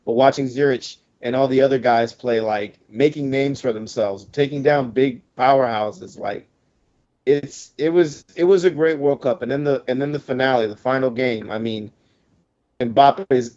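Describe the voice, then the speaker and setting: A man's voice: medium pitch, dark tone. Enthusiastic man on a lo-fi podcast. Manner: enthusiastic, loose and repetitive. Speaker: podcast co-host. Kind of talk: casual sports chat. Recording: slightly dull lo-fi podcast audio.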